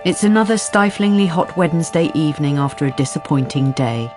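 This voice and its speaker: A woman's voice: low voice, expressive, brisk, faint music behind. A woman acting as the composed, wry narrator of a detective radio drama, reading scripted narration.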